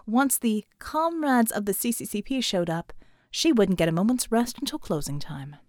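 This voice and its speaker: A woman narrating a scripted audiobook novel in a measured, expressive storytelling manner. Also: mid-range voice, dry recording, studio quality.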